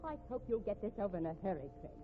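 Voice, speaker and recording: An actress playing a cornered, defiant, sharp-tongued married woman with dramatic, emphatic 1950s radio acting. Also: medium pitch, very animated, rapid-fire, faint music behind, natural voice. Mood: cool, cutting. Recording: tinny, noisy vintage radio recording.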